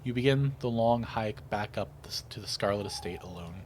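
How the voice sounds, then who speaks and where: A man's voice: on the low side, a little fast, darkish tone, slight background noise. A man reading a visual novel aloud as a let's player, narrating with casual asides. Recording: music playing behind the voice. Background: game music.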